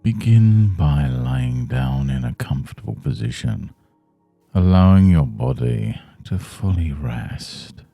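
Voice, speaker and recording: A man leading a guided meditation in a soothing, measured, hushed manner. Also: very deep voice, animated, gravelly, no room sound, soft background music. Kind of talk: guided Yoga Nidra meditation.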